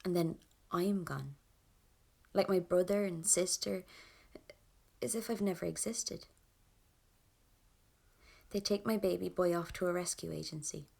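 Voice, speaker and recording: A woman's voice: fairly low pitch, measured pace, vocal fry, pauses often, warm tone, husky, heavily acted. An actress playing a bitter, wounded young Irish woman, recounting her past in a stark, restrained monologue with an Irish accent. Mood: wounded, subdued. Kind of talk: audio drama monologue.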